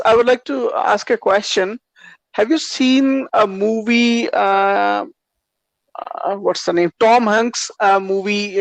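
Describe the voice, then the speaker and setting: A man's voice: sits high, creaky, no room sound, rough recording. A man with an Indian accent practicing English on a video call, asking questions and repeating words back. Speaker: English learner. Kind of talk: casual online conversation lesson.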